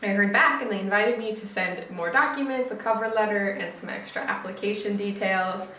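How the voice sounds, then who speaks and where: A woman's voice: mid-range voice, brisk, bright, forceful tone, a little hiss or hum. A young woman talking to camera in a casual vlog, chatty and upbeat, often correcting herself mid-sentence. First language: English. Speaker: vlogger. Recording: roomy sound from a distant camera mic.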